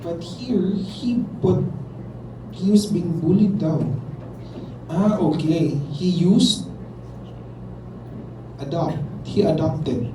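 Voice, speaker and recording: A man with a Filipino accent runs a workshop, switching between English and local phrases as he asks the class questions. His voice sits a little high, heard from a distance in an echoey room with steady traffic noise behind him. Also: extremely slow, long pauses. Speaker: workshop facilitator. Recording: roomy, distant, noisy room recording.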